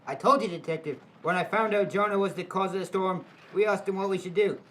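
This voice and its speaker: A man's voice: high-pitched, quick pace, a bit bright, soft background music, rough recording. A man playing a defensive sea captain in an amateur audio drama. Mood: angry, defensive.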